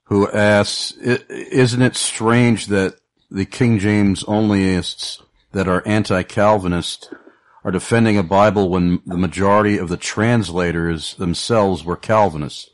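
A man co-hosting a radio talk show, reading listener questions aloud in a measured way. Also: lowish voice, fairly even intonation.

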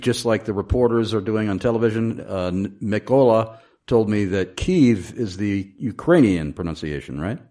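A man's voice: fairly low pitch, warm tone. A male radio host asking curious, chatty questions of a guest. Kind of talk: radio call-in interview.

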